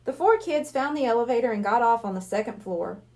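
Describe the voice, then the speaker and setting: A woman's voice: on the high side, expressive, a little fast, darkish tone. A woman reading a children's storybook aloud as scripted narration. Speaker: library reader of a children's book.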